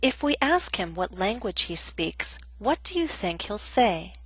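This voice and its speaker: A woman teaching an English grammar lesson in a clear, measured, scripted way. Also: mid-range voice, very animated, fairly bright tone, no room sound.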